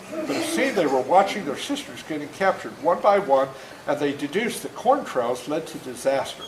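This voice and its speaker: Folksy male storyteller, seventies, very animated delivery, reading to kids in an echoey room with faint noise. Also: fairly high pitch. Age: 70s. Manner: folksy, interactive, acts out moments. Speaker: author reading his book to children. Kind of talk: animated storytelling read aloud to a young audience. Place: indoor room before a school audience. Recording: roomy echo, faint background noise.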